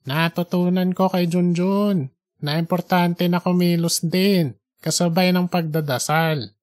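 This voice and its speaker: A narrator voicing a devout, tender father in his eighties, speaking slowly and earnestly in an audiobook story. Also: mid-range voice, steady tone, darkish tone, natural voice. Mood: solemn, regretful. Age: 80s. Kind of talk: audiobook character dialogue.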